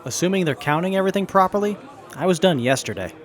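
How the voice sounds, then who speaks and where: Guy playing a keen salvage tinkerer, kinda high lively voice, earnest. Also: quick pace, a faint crowd. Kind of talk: fan audio drama.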